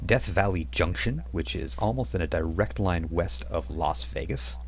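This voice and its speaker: A man's voice: deep voice, expressive, moderate pace, a little hiss or hum. A man running a tabletop game as game master, setting scenes in a loose, rambling way with casual asides.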